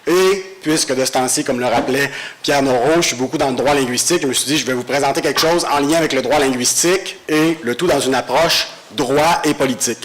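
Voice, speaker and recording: A man gives an academic law lecture at a university, speaking through a head cold in a measured, explanatory way. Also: medium pitch, a little hiss or hum. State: has a cold. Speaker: guest lecturer, law professor.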